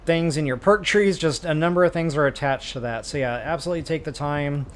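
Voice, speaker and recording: A man's voice: fairly high pitch, fairly quick, a little hiss or hum. A man giving casual, chatty walkthrough commentary as a gaming streamer, addressing viewers informally.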